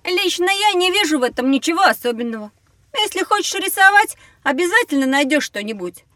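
A woman's voice: extremely high pitch, a little fast, no room sound, full character voice. An actress voicing a curious, slightly cocky young boy in a scripted educational audio drama for children. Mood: confident, eager. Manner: expressive, inquisitive, a little cocky. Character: curious, cheeky young boy on a learning adventure.

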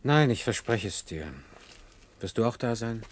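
An actor playing an idealistic, nonconformist programmer in a German science-fiction radio drama, speaking earnestly and emphatically. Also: male voice, medium pitch, lively intonation, normal speed, natural voice. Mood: subdued, conciliatory.

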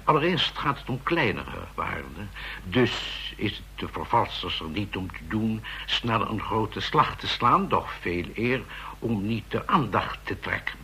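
A man's voice: on the low side, sing-song, a little slow, vocal fry, pushed and bright, slight background noise, exaggerated voice. An actor playing an insistent male counterfeiting expert explaining his case to officers in a radio drama.

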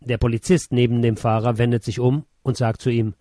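A man reading a first-person memoir as scripted narration, in a measured, sober way. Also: mid-range voice, little pitch movement, noisy background.

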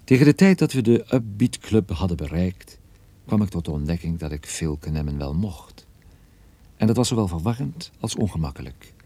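An actor playing a wry, sardonic male private detective, narrating his own case in a measured radio drama style. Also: low-pitched, sing-song, dark tone, no echo, exaggerated voice. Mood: wry, reflective.

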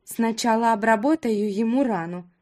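A woman's voice: a little high, moderate pace, dark tone, close to an everyday voice. A woman voicing a tender, insistent young village woman with an obsessive love, in an audiobook story.

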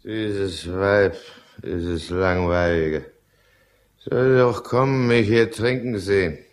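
A deep male voice in a German radio drama gives us a self-pitying businessman who drinks. He narrates and argues in a brooding, irritable way, always defending himself. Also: takes it slowly. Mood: indignant, defensive. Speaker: lead character and first-person narrator.

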